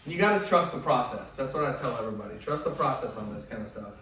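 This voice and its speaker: Male dog trainer, loud voice, chatty and instructive; distant mic in a boomy room with light hiss. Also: animated, fast talker, heavy reverb. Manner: instructive, conversational, drops to soft commands to the dog. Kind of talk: hands-on training demonstration.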